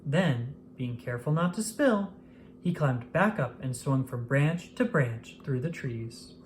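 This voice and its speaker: A narrator reading a children's picture book aloud in an expressive, scripted storytelling style. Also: mid-range voice, measured pace, slight background noise. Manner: expressive, acts out exclamations and dialogue.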